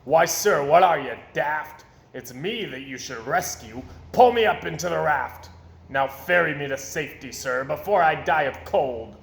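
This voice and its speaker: Storybook circus owner, played by a man: bossy, selfish, loud and barking, in an echoey room. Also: fairly high pitch, lively intonation, measured pace, vocal fry, full character voice. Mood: outraged, commanding. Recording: roomy echo, faint background noise.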